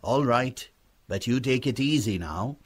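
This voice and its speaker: A man's voice: mid-range voice, lively intonation, a little slow. A man voicing a hearty, direct, helpful backwoods neighbour in an audiobook story. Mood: cautioning. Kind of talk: acted audiobook dialogue.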